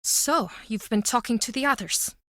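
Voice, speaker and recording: A bitter, guarded woman who runs a small-town pub in a video game. Her voice is fairly high and sharply bright, with curt, brooding, dramatic phrasing. The recording is dry and close. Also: normal speed, no echo. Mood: curt, dismissive. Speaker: game character.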